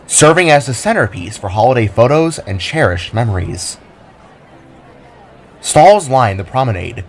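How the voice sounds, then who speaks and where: A man narrating his own audio drama in a descriptive storytelling style. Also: medium pitch. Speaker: narrator and writer.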